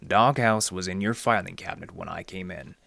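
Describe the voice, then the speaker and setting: An actor playing a wry, deadpan library detective who narrates his case in hardboiled old radio style. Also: low-pitched, fairly quick, gravelly, dry recording, heavily acted. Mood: pressing, accusing. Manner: deadpan, sardonic, slangy.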